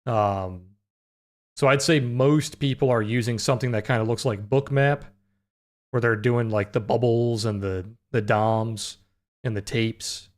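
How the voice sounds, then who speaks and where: Young male streamer, deep voice prone to cracks, laid-back conversational delivery at a desk mic. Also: a little slow. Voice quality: naturally deep voice that sometimes cracks high. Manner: relaxed, filler-heavy, answers chat questions. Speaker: livestream trading host. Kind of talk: casual live-stream chat with chat readers.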